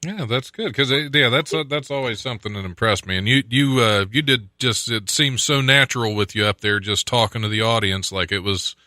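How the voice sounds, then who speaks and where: Guy cohosting a podcast, kinda tense bright voice, trips over his words a lot. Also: mid-range voice, brisk, few pauses, dry recording, studio quality. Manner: hesitant, stumbling, asks questions. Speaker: podcast co-host and comic. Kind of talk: casual podcast interview.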